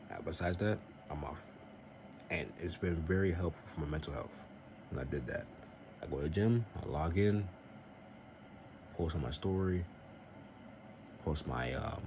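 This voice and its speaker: Young man, very low voice, earnest preachy vlogger, noisy home recording. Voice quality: very deep voice. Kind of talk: casual faith-based vlog talk.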